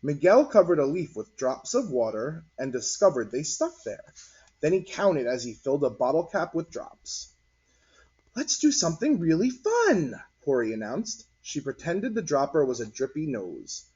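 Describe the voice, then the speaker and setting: A man reading a picture book aloud to children in an animated, husky voice. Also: mid-range voice, moderate pace. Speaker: read-aloud storyteller. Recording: dull, lo-fi recording.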